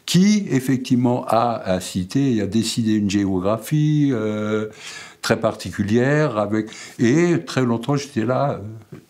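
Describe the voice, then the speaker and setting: A man in his eighties from Alsace, whose first language is Alsatian, speaks haltingly about his life, with many fillers and repeated words. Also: medium pitch, animated, darkish tone. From Alsace, France. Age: 80s. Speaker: painter and sculptor interviewed. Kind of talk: hesitant reflective monologue.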